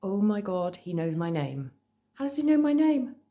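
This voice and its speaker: A woman's voice: lowish voice, sing-song, normal speed, creaky, darkish tone. A woman voicing an outspoken, bewildered fifteen-year-old schoolgirl with an incredulous, questioning manner in a storybook reading. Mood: alarmed.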